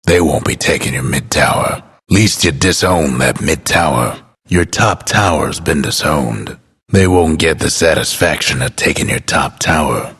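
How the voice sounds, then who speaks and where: An actor playing a laconic, folksy, world-weary male game announcer with an American accent and a gravelly, husky voice. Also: a bass voice, a bit bright, full character voice. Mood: wry, understated. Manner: folksy, unhurried, understated. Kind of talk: scripted game announcer lines.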